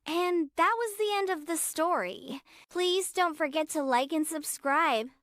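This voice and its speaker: A woman narrating a children's moral story in a gentle, even storybook manner. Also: very high voice, measured pace, no room sound, studio quality.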